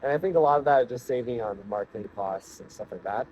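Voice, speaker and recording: A man's voice: medium pitch, expressive, fast, a little mellow, a little hiss or hum, rough recording. A man giving a casual, unscripted product review, thinking aloud in a conversational way as he goes.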